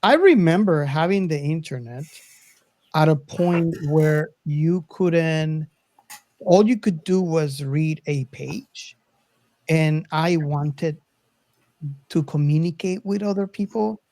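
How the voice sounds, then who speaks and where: A man's voice: a little high, slow pace, a little mellow, no echo. A middle-aged man in casual podcast conversation, explaining things conversationally and voicing other people's reactions. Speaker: podcast co-host. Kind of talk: casual chat, reminiscing.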